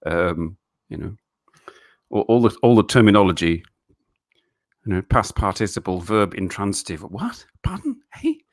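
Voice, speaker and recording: A middle-aged Englishman hosting a casual live stream, answering viewer questions in a halting way with many restarts and frequent chuckles. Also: on the low side, sing-song. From England.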